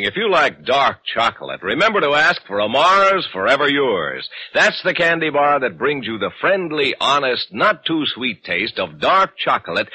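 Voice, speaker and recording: A man's voice: medium pitch, bright, forceful tone, slight background noise, rough recording. A man announcing in polished, emphatic 1940s radio commercial style, on an old broadcast recording.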